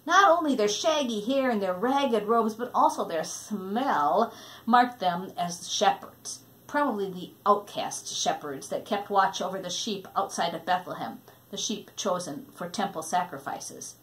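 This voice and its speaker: Female storybook narrator, mid-range voice, expressive and dramatic in delivery. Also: mid-range voice, measured pace, rough recording. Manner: dramatic, hushing at tender or suspenseful moments. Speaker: storybook reader.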